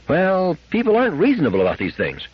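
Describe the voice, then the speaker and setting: Anxious, insistent housewife and mother, performed by a man; raised pitch, husky edge, lo-fi sound. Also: huge pitch swings, fast, no echo, heavily acted. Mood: insistent, worried. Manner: insistent, argumentative. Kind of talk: audiobook character acting. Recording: dull lo-fi radio-like audio.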